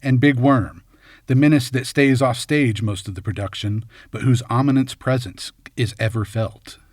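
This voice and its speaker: A man narrating a scripted film analysis in measured, short punchy phrases. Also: mid-range voice, darkish tone, dry recording, studio quality. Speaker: video essay host and narrator.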